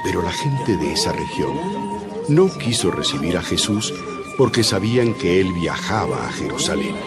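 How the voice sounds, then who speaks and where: A man's voice: deep voice, very animated, normal speed. A man narrating a dramatized scripture reading in a scripted style. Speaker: Bible narrator. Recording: loud music under the voice. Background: music.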